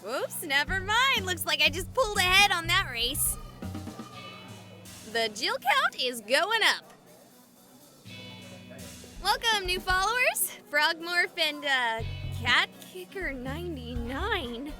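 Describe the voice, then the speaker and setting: A woman's voice: very high voice, big pitch swings, slow, long pauses, bright, forceful tone, soft background music, exaggerated voice. A young woman acting as a bubbly, cheeky livestreamer on a ghost hunt in a visual novel game, with perky, slangy, playful chatter. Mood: perky, chatty.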